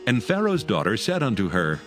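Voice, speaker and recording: Guy narrating the bible, lowish voice, very animated, music under him. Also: brisk. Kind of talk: dramatized scripture narration.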